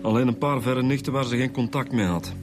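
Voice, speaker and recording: An actor with a Flemish accent playing a wry, impatient police inspector in a radio drama, dry and sardonic. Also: male voice, mid-range voice, a little fast, over music, natural voice. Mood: probing.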